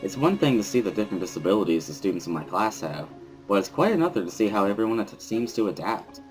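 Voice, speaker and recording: A man's voice: on the low side, very animated, quick pace, soft background music, rough recording. A man giving a dramatic first-person reading of a visual novel as its narrator.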